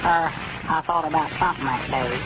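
An actor playing a naive, cantankerous old storekeeper in a 1930s radio comedy serial, with a rural Arkansas accent and folksy, rambling speech. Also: male voice, a little high, fairly quick, natural voice. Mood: muddled. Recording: noisy, muffled 1930s radio recording.

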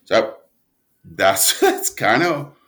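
A man speaking as an interview guest, a writer telling stories conversationally in an animated but halting manner. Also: medium pitch. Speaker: guest, audio drama writer and producer.

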